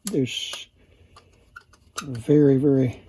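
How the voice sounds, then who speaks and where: A man with a husky, somewhat dark voice talks himself through a repair job. His delivery is slow and halting, often a casual mutter to himself as he works. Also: medium pitch. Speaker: repair hobbyist and video host. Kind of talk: casual think-aloud repair narration.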